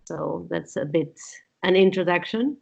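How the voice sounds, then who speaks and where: A woman's voice: fairly low pitch, measured pace, creaky, darkish tone. A woman from Peru in her forties, answering interview questions in a thoughtful way, with frequent fillers and restarts. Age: 40s. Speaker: guest. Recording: dull lo-fi sound.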